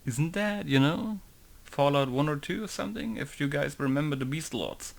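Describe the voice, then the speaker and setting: A man's voice: on the high side, moderate pace, creaky. A man who mods games, talking casually and rambling as he works through a tutorial, laughing now and then. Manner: relaxed, rambling, laughs often. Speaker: game modder. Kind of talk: casual screen-recording commentary.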